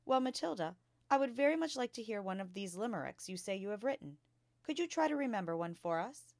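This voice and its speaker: A woman's voice: medium pitch, expressive, a little fast, darkish tone, no room sound, voice pushed up, natural voice. Woman reading as a kind young schoolteacher lady, encouraging questions, storybook style, close dry recording. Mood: surprised, eager. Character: kind, gentle young female primary school teacher.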